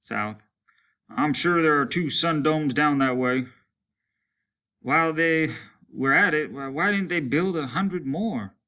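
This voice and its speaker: A man's voice: fairly high pitch, slow, pauses often, bright, forceful tone, voice pushed up. A man voicing a terse, weary male lieutenant in a science fiction story, with a clipped, matter-of-fact delivery. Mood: grumbling.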